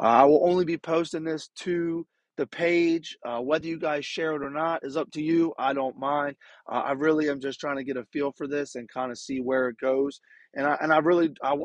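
A man's voice: medium pitch, no echo. A man in his late twenties hosting a casual outdoors podcast, sounding nasal from being sick, rambling with lots of fillers and restarts. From Maryland. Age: late 20s. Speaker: podcast host.

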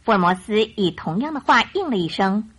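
A woman narrating a radio drama in an expressive storytelling style. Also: medium pitch, brisk, slightly rough. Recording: music behind the voice.

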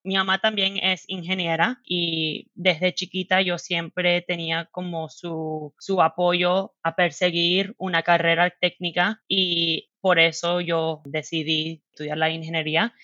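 A young woman from Miami, whose first language is English, gives personal answers as a podcast guest. Her voice is bright and a little low, and she searches for words, slipping in English terms. The sound is dry and slightly muffled. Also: no echo. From Miami, United States, Cuban family.

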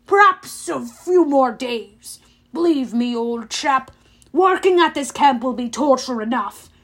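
A woman's voice: high-pitched, animated, measured pace, a bit bright, heavily acted. A woman voicing a bratty, bored immortal god who speaks with sarcastic false sympathy in a storybook reading. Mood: mock sympathy.

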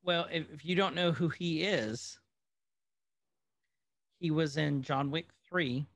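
A man's voice: a little high, slow, fairly bright tone, no echo, clean studio sound. A man co-hosting a podcast, chatting casually and with opinions about old movies. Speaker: podcast co-host.

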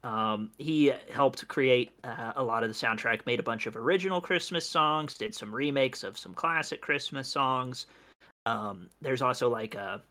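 A young man co-hosts a casual chat podcast with a bright, forward tone. He rambles, filling gaps with ums and uhs and restarting his sentences. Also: medium pitch, normal speed. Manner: rambling with many fillers and restarts. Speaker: podcast co-host.

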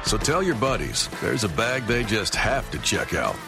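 A man's voice: on the low side, sing-song, normal speed, gravelly. A man reading a scripted radio commercial as an announcer. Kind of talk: scripted radio advertisement read. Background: music.

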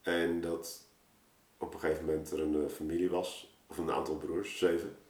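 A man talking casually and reflectively as a guest storyteller, halting and thinking aloud, recorded in an echoey room. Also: low voice, level tone, darkish tone. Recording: roomy, echoing space.

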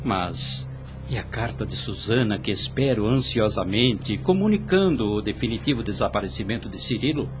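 A scheming false friend with a lowish voice and Brazilian accent, played by a man. He speaks in formal, melodramatic radio soap style, all smooth solicitude, with music clearly behind him. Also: lively intonation, moderate pace, natural voice. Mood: scheming, impatient. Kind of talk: radio soap opera acting.

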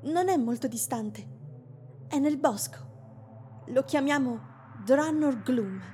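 A woman's voice: high voice, slow pace, a little mellow, faint music behind. A woman voicing a frightened young village woman on the run in an Italian radio drama, speaking in a hesitant, nervous way.

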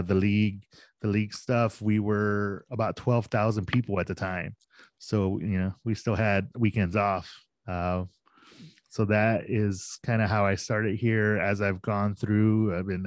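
Easygoing low-voiced man in his forties. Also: a little mellow, no room sound. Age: 40s. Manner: easygoing, self-deprecating, laughs often. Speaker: league director of operations. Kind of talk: casual podcast interview.

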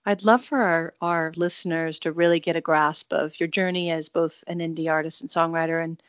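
A woman's voice: deep voice, fairly even intonation, fairly quick, clear, resonant tone, dry recording. Effusive woman radio host. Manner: effusive, gushing introductions. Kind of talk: radio interview hosting.

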